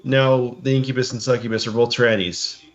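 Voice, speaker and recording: A man's voice: mid-range voice, level tone, a little fast, dull audio. A man co-hosting a podcast with casual reaction commentary, often stammering and restarting his phrases. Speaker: podcast co-host.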